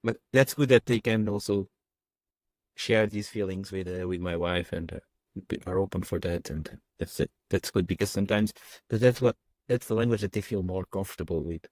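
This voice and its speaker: Man, Portuguese accent, low voice, dry close recording, earnest guest. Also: normal speed, a little mellow, dry recording. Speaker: podcast guest. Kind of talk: casual podcast conversation.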